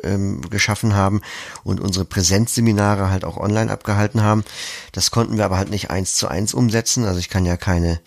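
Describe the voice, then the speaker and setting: Deep, creaky-voiced man, closely recorded. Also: no room sound, studio quality. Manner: structured, explains with examples. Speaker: podcast producer, interview guest. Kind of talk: explanatory interview talk.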